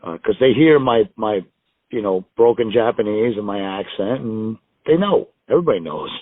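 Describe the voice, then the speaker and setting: A man's voice: on the low side, lively intonation, normal speed, no echo. Rambling American man on a phone line. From United States. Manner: rambling, self-deprecating, laughs often. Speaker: wrestler guest. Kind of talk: casual interview storytelling. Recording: tinny dry phone line.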